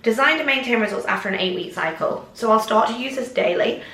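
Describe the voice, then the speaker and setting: A woman's voice: a little high, expressive, fast talker, sharp tone. A young woman in her twenties from England, chatting casually to camera in a home vlog, bubbly and rambling, a bit snuffly and congested. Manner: bubbly, rambling, lots of "like" and "oh my gosh". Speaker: vlogger. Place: home kitchen, indoors. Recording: echoey room.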